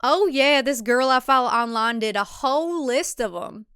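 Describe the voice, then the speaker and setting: Woman acting a quick-witted young thriller heroine, highish voice. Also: fairly bright tone, smooth, no echo, clean studio sound. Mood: falsely sweet. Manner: falsely sweet, cooing, muttering when alone.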